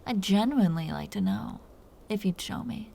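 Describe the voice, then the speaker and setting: A woman playing a teasing, seductive, dominant vampire captor in an intimate roleplay audio drama, talking in breathy half-whispers. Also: medium pitch, moderate pace, warm tone, slight background noise, natural voice. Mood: coaxing.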